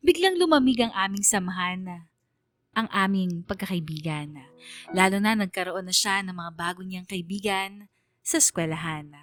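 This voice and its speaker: A woman's voice: medium pitch, fairly bright tone, dry recording, clean studio sound. A woman with a Filipino accent narrating a scripted radio story in an earnest, sentimental way.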